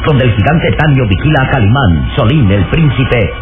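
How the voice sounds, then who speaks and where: A man's voice: mid-range voice, lively intonation. Solemn male radio serial narrator, tinny sound. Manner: solemn, suspenseful, deliberate. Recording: tinny old radio recording under music.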